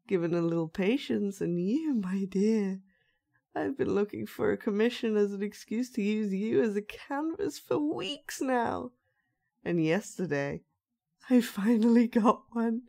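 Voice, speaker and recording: A woman playing a sinister, soft-spoken dollmaker with magic powers in a roleplay monologue, coaxing and condescendingly gentle as she addresses the listener. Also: on the high side, gravelly. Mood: sweetly eager.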